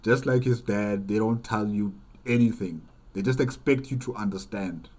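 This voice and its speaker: Low-voiced man giving casual reaction commentary. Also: a little mellow. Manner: halting, repeats phrases, rhetorical questioning. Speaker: reaction video host.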